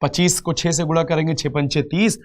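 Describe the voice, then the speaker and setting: A man's voice: on the high side, steady tone, fairly quick. A man teaching an exam class step by step, repeating phrases and asking rhetorical questions. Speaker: reasoning teacher for exam coaching. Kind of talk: live online lecture, explaining step by step.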